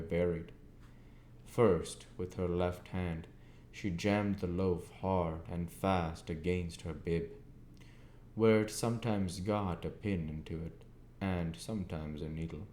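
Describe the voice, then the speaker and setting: A man narrating a classic storybook as an amateur reader, with deliberate, slightly halting phrasing. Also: deep voice, darkish tone. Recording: echoey room, faint background noise.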